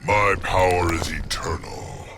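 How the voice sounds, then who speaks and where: An actor playing a solemn, stern plant monster who guards nature in a video game, speaking formally and gravely. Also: male voice, fairly even intonation, over music. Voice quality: deep, gravelly. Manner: slow, formal, humorless. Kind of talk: video game character acting.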